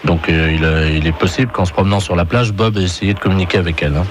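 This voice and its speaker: A man making a prank phone call, speaking in a polite, official, deadpan manner. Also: low-pitched, quick pace. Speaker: prank caller posing as aquarium official. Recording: phone prank recording with faint noise.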